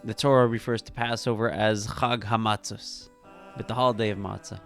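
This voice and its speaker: Rabbi, male, gravelly mid-pitched voice, earnest and emphatic teaching delivery, soft music bed. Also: brisk. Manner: earnest, emphatic, builds to animated peaks. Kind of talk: sermon-style teaching.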